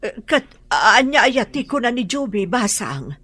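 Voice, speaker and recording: A woman's voice: fairly high pitch, sing-song, measured pace, fairly bright tone, slightly rough, no echo, exaggerated voice. A woman with a Filipino accent playing an anxious, motherly older woman in a radio drama. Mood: worried.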